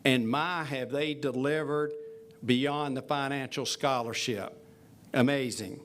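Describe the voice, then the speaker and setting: A man on a university faculty giving a ceremonial speech, telling a story with some halting fillers and restarts. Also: medium pitch, fairly bright tone.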